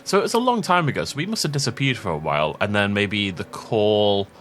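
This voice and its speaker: A man's voice: fairly low pitch, a little fast, a little hiss or hum. A man, sniffly from a cold, chatting casually as he plays a game. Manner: chatty, rambling, animated. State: sick, itchy throat, sniffly. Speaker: let's play gameplay commentator. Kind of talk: casual gameplay commentary.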